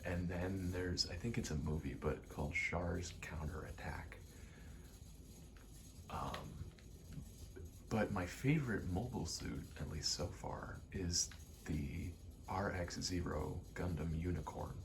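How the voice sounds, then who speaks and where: A young adult man in a quiet, casual ASMR-style ramble, speaking candidly and hesitantly, often trailing off. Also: low-pitched, slow, background noise. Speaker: video maker.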